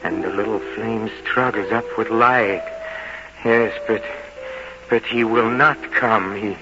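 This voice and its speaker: An actor playing a tipsy, jealous, brooding man bent on revenge in an old-time radio drama, speaking in halting, repetitive, theatrical phrases. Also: fairly low pitch, steady tone, noisy background. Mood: quiet menace. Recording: dull old radio recording, music behind.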